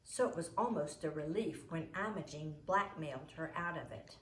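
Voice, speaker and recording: A woman reading a children's book aloud as a storyteller, in an expressive way. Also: sits low, normal speed. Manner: expressive, acts out the dialogue. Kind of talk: storybook read-aloud narration. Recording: roomy echo, noisy home recording.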